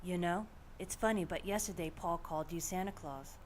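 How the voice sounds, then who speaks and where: A woman's voice: on the low side, moderate pace, slight background noise, close to an everyday voice. A woman playing a practical, caring middle-aged investigator in an audio drama, talking in a plain, conversational way. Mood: warm, reassuring. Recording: music behind the voice.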